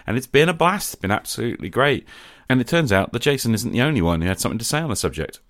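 A man's voice: mid-range voice, big pitch swings, racing, a bit bright, no echo, studio quality. A man speaking to listeners as the host of a podcast.

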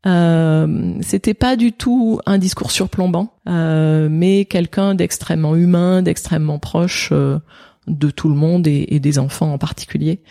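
A woman pastor in her fifties from France talks in a gravelly, mellow voice. She tells her story reflectively and hesitantly, with many fillers. The interview takes place indoors. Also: deep voice, fairly even intonation, unhurried. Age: 50s. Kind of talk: interview conversation. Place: indoors, office.

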